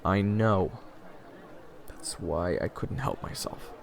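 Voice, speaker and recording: A man playing a loyal, hot-headed human companion in an audio fantasy drama, talking in a casual, halting, earnest way. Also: low-pitched, creaky, a faint crowd, slight background noise, heavily acted. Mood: sheepish, flustered. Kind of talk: audio roleplay drama with first-person narration.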